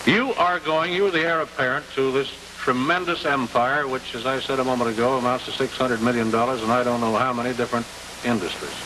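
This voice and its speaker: A man's voice: medium pitch, fairly even intonation, fairly quick. An American man hosting a 1950s television interview, asking long questions in a folksy way. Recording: noisy, hissy 1950s television broadcast.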